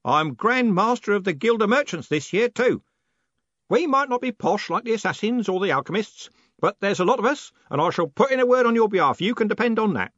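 A man doing a booming old merchant. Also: expressive, a little fast, a bit bright, no echo, highs cut off. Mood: booming hearty. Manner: bellowing, booming. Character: loud blunt old male merchant, hearty.

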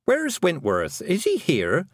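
A man's voice: high voice, wildly swooping pitch, slow pace, dry recording, clean studio sound, pitched above the performer's own voice, full character voice. A man raising his voice for a frantic mother. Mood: urgent. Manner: urgent, pressing. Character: anxious, urgent farm mother.

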